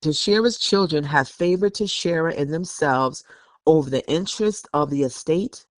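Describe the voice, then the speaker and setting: A man's voice: on the high side, normal speed, no echo. A man hosting a podcast, reading documents aloud and giving opinionated, conversational commentary. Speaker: podcast host.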